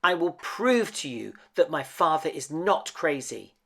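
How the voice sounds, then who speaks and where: A man's voice: fairly high pitch, sing-song, measured pace, creaky, fairly bright tone, voice pushed up, heavily acted. A man voicing a kind, determined young woman in a storybook, with an earnest, emotional manner. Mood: defiant.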